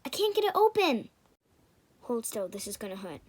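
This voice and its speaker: A woman's voice: a little high, sing-song, moderate pace. A woman voicing frightened young girls in a children's puppet show, anxious and urgent, in a noisy home recording. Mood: urgent, scared.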